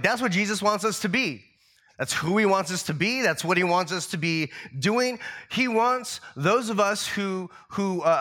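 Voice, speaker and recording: Male pastor, scratchy, bright edge; relaxed, rambling preaching style. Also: a little high. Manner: casual, loose delivery with many fillers and restarts. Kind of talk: conversational sermon.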